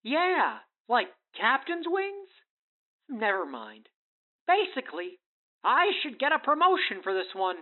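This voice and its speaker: A man's voice: very high-pitched, sing-song, unhurried, exaggerated voice. A man playing a chipper, rambling, self-promoting FBI agent in a comic radio play, earnestly corny. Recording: thin, phone-like sound.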